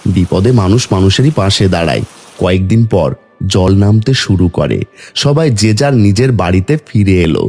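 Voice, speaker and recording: Low-voiced man narrating a story. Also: animated, normal speed, a little mellow. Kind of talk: scripted cartoon story narration.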